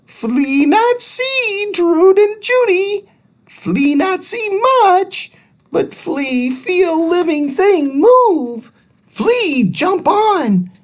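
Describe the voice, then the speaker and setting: A man voicing a small squeaky helper robot in a storybook, talking in clipped, broken phrases. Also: sing-song, very slow, exaggerated voice. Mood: alarmed, explaining. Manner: clipped, simplified phrases without articles.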